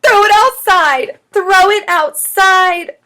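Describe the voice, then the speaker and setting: A woman voicing a squeamish, dramatic little schoolgirl in a children's audiobook, with shrill exclamations. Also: expressive, moderate pace, a bit bright. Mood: panicked.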